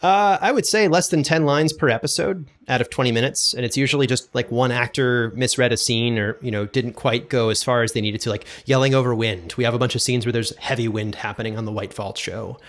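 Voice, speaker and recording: A man with a mid-pitched voice talks casually as an interview guest. He sounds agreeable and enthusiastic, explaining his craft informally. He is recorded close on a studio condenser microphone. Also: a little fast, few pauses, a bit bright. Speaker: podcast guest, audio drama producer and sound designer. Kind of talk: casual interview chat about audio production.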